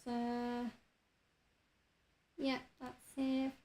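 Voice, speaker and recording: A woman game streamer chatting casually over her play, her voice slightly croaky from a cold, with relaxed talk and frequent fillers. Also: a little high, extremely slow. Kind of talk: casual let's-play commentary.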